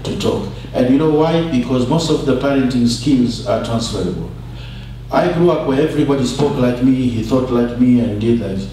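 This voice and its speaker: Guy on a panel telling stories, soft dark voice, far from mic w faint hum. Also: mid-range voice. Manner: rhetorical questions, conversational storytelling. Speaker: panel speaker. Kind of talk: informal panel talk with anecdotes. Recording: echoey hall, distant mic, faint hum.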